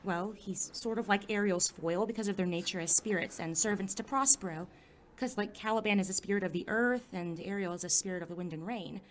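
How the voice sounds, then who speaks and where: A young woman acting a wry, anxious teenage high school student in a scripted audio drama, talking conversationally with hesitant asides. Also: a little fast, a little hiss or hum, close to an everyday voice. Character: teenage girl, sarcastic, anxious, determined high school junior.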